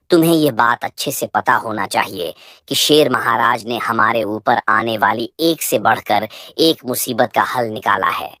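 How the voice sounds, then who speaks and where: A woman's voice: very deep voice, fairly bright tone, dry recording, studio quality, exaggerated voice. A woman voicing a wise, concerned elder male elephant who gives earnest, persuasive advice in a cartoon story. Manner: advising, earnest. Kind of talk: children's animal moral-story cartoon.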